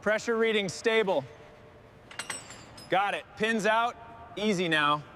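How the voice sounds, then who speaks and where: A man's voice: high voice, takes it slowly, bright, forceful tone. A man on a machinery crew giving terse work instructions and short status calls. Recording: vehicle and ambient machine noise behind.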